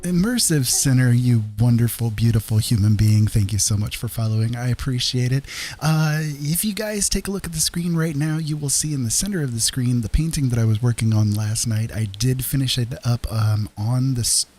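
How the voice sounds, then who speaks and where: A man's voice: mid-range voice, moderate pace, warm tone. An American man hosting a livestream, chatting casually and reassuringly to viewers in a friendly, rambling way. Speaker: live streamer host.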